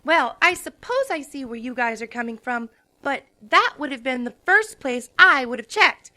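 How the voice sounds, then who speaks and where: An earnest young pony scholar with a very high, bright voice, as a woman puts her on. She talks seriously and a little reproachfully, a cartoon bookworm worried about her friends. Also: big pitch swings, normal speed, heavily acted. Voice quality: earnest, slightly scolding. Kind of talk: fan dramatic reading.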